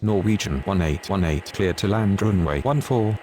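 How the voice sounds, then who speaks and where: A man's voice: deep voice, moderate pace, a little mellow, a little hiss or hum, close to an everyday voice. A man playing a businesslike airport radio operator in an aviation game, speaking formal, procedural phraseology. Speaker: air traffic radio voice.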